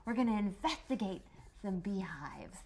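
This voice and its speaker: A woman's voice: medium pitch, expressive, normal speed, darkish tone, slight background noise. A woman player in a tabletop roleplaying game, casually describing her character's actions. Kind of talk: casual game play talk.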